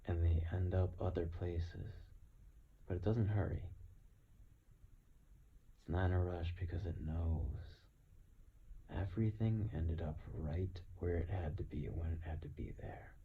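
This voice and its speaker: A man with a very low, gravelly voice speaks slowly and deliberately in a reflective monologue. He leaves long pauses and often sinks into a breathy murmur. The recording is dull, with faint noise underneath. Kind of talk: slow reflective philosophical monologue.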